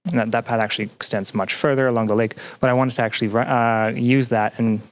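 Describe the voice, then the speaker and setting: A man chatting casually as a podcast guest, a little halting, with dry playful teasing. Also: mid-range voice, fairly even intonation. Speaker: podcast guest, student representative. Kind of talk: casual chat.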